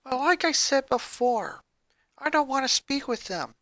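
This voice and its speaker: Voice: high voice, animated, a little slow, fairly bright tone, no room sound, voice pushed up. A story reader voicing a hurt preteen boy who confides his grievances in a sullen way. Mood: guarded, sullen.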